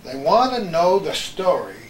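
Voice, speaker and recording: A man's voice: on the high side, big pitch swings, unhurried, a crowd in the background. An older man with a genial, folksy broadcaster's way of talking, hosting a casual chat of reminiscences. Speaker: talk show host. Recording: roomy, distant mic, faint noise.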